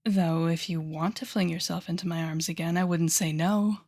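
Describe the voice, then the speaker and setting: A woman playing a haughty, sardonic telepathic villain with a hidden soft side, speaking straight to the listener in an audio roleplay. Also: lowish voice, steady tone. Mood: teasing, wistful. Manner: sardonic, theatrical, teasing, with sighs and deliberate pauses. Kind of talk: intimate audio roleplay acting addressed to the listener.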